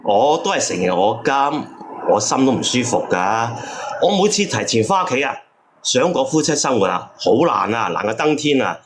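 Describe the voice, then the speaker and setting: A man's voice: mid-range voice, very animated, normal speed, slight background noise. A man calling in to complain in an aggrieved, accusing way. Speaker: caller. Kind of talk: casual complaint.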